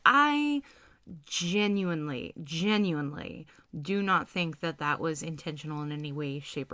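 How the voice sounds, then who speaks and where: Bright-voiced chatty woman podcast host. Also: fairly low pitch, dry recording. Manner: chatty, laughing, rambling with fillers and restarts. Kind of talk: casual chat.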